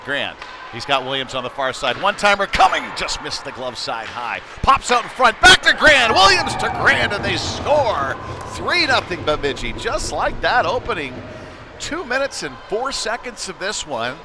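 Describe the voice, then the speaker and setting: A man doing live, conversational play-by-play commentary of youth hockey, excitable on big plays. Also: high voice, moderate pace. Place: indoor ice rink, live broadcast. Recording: clear background noise of sports arena.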